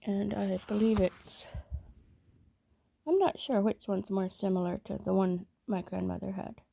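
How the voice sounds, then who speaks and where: A woman reading a children's story aloud in a measured, expressive storytelling manner. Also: mid-range voice, creaky. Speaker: storybook reader.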